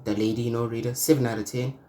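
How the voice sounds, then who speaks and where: A man's voice: medium pitch, little pitch movement, racing, warm tone. A man hosting a casual reaction video, talking in a slangy, laid-back way and often checking in with his audience. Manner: slangy, laid-back, frequent "you feel me".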